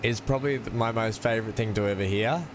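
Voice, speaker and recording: A man's voice: lowish voice, fast, noisy background. A man with an Australian accent hosting a game stream, chatting casually and laughing often. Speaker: gaming channel host.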